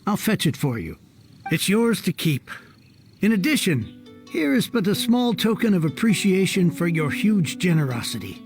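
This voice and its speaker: High-voiced, earnest merchant heir. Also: male voice, moderate pace, soft background music. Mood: grateful. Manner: expressive, verbose, formal. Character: earnest fallen merchant heir, bookish, fussy and grateful. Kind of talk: video game voice acting.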